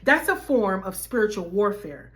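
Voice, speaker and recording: A woman life coach, slightly hoarse, talking animatedly to her viewers in a casual faith-based vlog. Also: medium pitch, normal speed. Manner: animated, addresses viewers as y'all.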